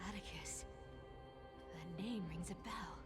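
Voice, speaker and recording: A woman's voice: medium pitch, a little slow, loud music behind the voice, lightly acted. A woman voicing a sly, playful female thief who is greedy for treasure, musing aloud theatrically in a video game.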